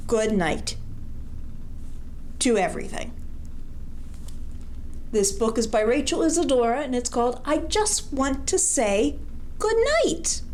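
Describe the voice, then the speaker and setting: A woman's voice: on the high side, a little mellow. A woman hosting an interactive children's read-aloud, speaking in a coaxing sing-song way and putting questions to the listener. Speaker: storytime host reading a picture book. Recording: faint background noise.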